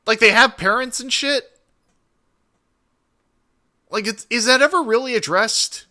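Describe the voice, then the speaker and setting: Very bright-voiced man reacting casually. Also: very high voice, unhurried. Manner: profane, rambling. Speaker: streamer.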